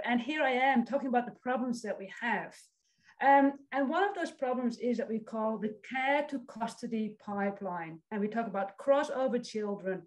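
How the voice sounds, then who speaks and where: A woman's voice: a little high, darkish tone, poor audio. A woman in her forties from the Netherlands with a Dutch accent, a social work lecturer giving an encouraging online presentation over a video call. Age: 40s. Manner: friendly, encouraging, reads out audience comments. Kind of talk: online presentation to a youth summit.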